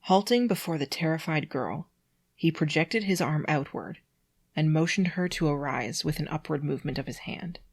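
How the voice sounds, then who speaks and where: A reader of unclear sex with a noticeably creaky, mid-pitched voice. They read prose aloud in the manner of an amateur and comment on it with giggly, incredulous nitpicking. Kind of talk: reading prose aloud with mocking asides.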